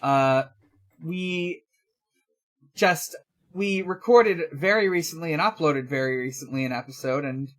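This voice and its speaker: A young man co-hosting a podcast in casual chat, talking in a halting, rambling way and often correcting himself. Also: fairly high pitch, a bit bright. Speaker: podcast co-host.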